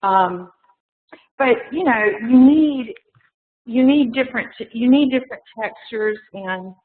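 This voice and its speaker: A woman's voice: fairly high pitch, lively intonation, unhurried. Woman collector chatting away on a webinar, tons of ums, voice going, sounds like a phone. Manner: chatty, rambling, many fillers and restarts. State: losing her voice, coughing. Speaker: art collector. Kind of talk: casual webinar talk. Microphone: video call. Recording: echoey room over tinny video call.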